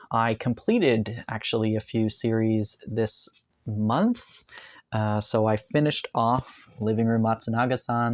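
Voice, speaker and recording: A man's voice: on the low side, no echo. Chatty man full of ums, dry close sound. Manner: rambling, many ums and uhs, enthusiastic. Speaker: manga collector vlogger. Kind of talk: casual haul video talk. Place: bedroom, indoors.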